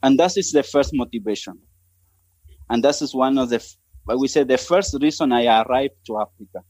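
A man in his thirties from Argentina, with an Argentine Spanish accent and Spanish as his first language, speaking haltingly as a missionary guest on a podcast. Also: mid-range voice, a little mellow, no echo. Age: 30s. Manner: halting, searching for words in English. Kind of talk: podcast interview.

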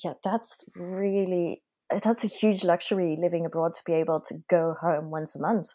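Low-pitched woman hosting a podcast. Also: flat delivery, moderate pace, mellow. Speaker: podcast host. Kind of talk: scripted intro and casual interview.

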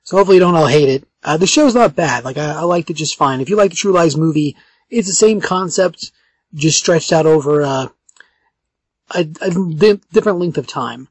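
A man with a raspy voice hosting a podcast in casual chat, halting and often correcting himself. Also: fairly high pitch, lively intonation, dry recording. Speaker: podcast host.

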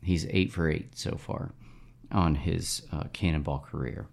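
A man's voice: a bass voice, steady tone, unhurried, vocal fry, darkish tone. A man co-hosting a podcast, recapping a TV episode in casual, dry chat from his notes. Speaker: podcast co-host.

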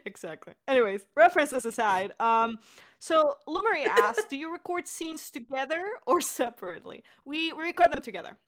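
A woman co-hosting a casual question-and-answer chat as a director, explaining things in an animated way. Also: high-pitched, normal speed. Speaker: podcast director and co-host.

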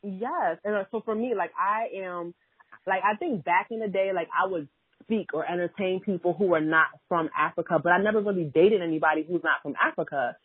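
A woman's voice: lowish voice, fairly quick, husky, dry recording. Chatty woman podcast host over a thin line. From Ghana (family), raised in America. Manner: chatty, filler-heavy, laughs easily. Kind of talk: casual chat. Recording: dry thin phone-like sound.

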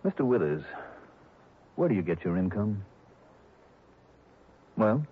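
An actor playing a tough, skeptical insurance investigator with a clipped, sardonic delivery in 1960s radio detective style. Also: male voice, fairly low pitch, big pitch swings, takes it slowly, husky, full character voice. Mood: brisk, insistent. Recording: muffled narrow-band vintage radio recording.

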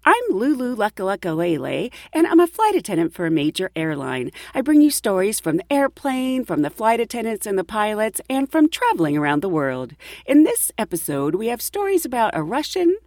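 Lively chatty woman podcast host. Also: fairly quick, no echo, studio quality. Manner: chatty, laughs often, lively rises in pitch. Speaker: podcast host, flight attendant. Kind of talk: casual storytelling.

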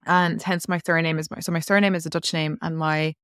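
A woman's voice: low-pitched, level tone, fairly quick, fairly bright tone, clear, resonant tone, dry recording, studio quality. A woman guest on a podcast telling a personal story in a chatty, rambling way, full of "like" fillers. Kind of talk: casual interview storytelling.

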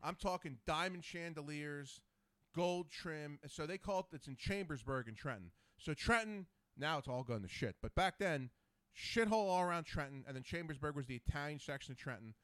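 A man's voice: fairly high pitch, moderate pace, a bit bright, no echo. A man in his forties hosting a casual podcast chat, loose and profane, rambling into stories. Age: 40s. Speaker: podcast host.

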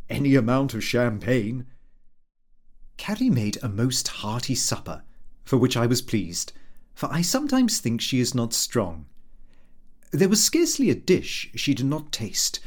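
Animated man reading a comic diary. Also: mid-range voice. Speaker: audiobook reader. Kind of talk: expressive scripted narration of a comic diary.

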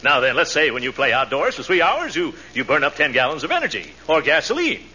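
Pompous, swooping, blustering windbag. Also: male voice, a little high, wildly swooping pitch, quick pace, bright, forceful tone, breathy edge, heavily acted. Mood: flustered pomposity. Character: pompous, blustering, easily flustered middle-aged windbag pal. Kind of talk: 1940s radio comedy acting. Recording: dull, noisy 1940s radio recording.

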